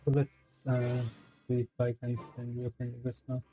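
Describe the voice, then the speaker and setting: Mellow-voiced man streaming a game. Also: mid-range voice, completely flat delivery, normal speed, poor audio. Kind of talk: casual livestream chat. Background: game music.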